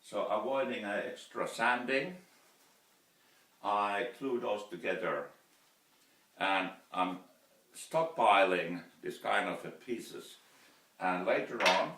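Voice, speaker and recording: A man in his fifties with a Finnish accent, speaking English as a second language, talks casually in his wood shop, halting often and restarting his sentences. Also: lowish voice, sharp tone, a little hiss or hum. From Finland. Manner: slow, halting, many fillers and restarts. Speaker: woodturner vlogger. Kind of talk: casual workshop vlog. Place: cluttered indoor wood shop. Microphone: camera mic from several feet away. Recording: roomy workshop sound from a distance.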